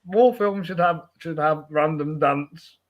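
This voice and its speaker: A man hosting a film podcast, chatting casually and haltingly, with false starts along the way. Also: on the high side, highs cut off.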